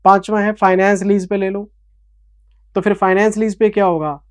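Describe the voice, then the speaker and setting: A man's voice: high voice, level tone, normal speed, clear, resonant tone, no room sound, clean studio sound. A man in his forties, an accounting teacher giving a revision lecture in a passionate, scolding then explanatory manner, with an Indian English accent. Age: 40s.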